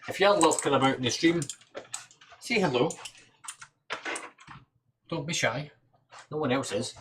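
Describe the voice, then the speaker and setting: A man's voice: a little high, measured pace, noisy background. A Scottish man hosting a casual livestream, chatting informally and thinking aloud as he works. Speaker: livestream host. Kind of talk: casual livestream chat while working on PC parts.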